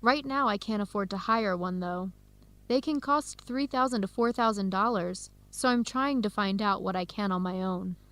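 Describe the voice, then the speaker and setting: A woman's voice: medium pitch, normal speed, a bit bright, dry recording, close to an everyday voice. A woman playing a friendly young Ethiopian American grad student with an American accent in a radio drama, speaking in a conversational way. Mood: earnest. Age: young adult. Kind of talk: educational radio drama acting.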